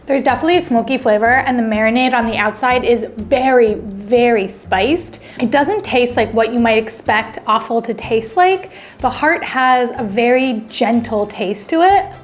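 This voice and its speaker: A woman's voice: on the high side, moderate pace, few pauses, a little hiss or hum. A woman hosting a food vlog, chatting and thinking aloud as she tastes each dish. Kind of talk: casual food tasting vlog.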